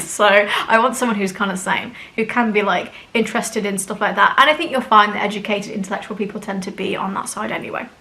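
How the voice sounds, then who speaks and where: A woman vlogger talking straight to her viewers in a loose, chatty way. Her speech keeps filling with "like" and "you know" as she thinks aloud. Her pitch sits in an ordinary middle range. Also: sharp tone. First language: English. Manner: chatty, frank, with many "like" and "you know" fillers. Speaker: spiritual channel host. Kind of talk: casual talk to camera.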